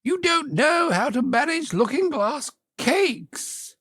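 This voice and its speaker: Snooty storybook unicorn, way up high, loud n indignant. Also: male voice, very animated, takes it slowly, no room sound, studio quality, heavily acted. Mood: haughty, instructing. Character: peevish, haughty male unicorn in a storybook.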